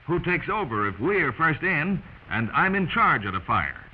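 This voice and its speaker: A man's voice: on the high side, sing-song, moderate pace, husky. An American man narrating a 1940s instructional film in an emphatic, instructive manner, addressing the listener directly. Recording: muffled, noisy 1940s film soundtrack.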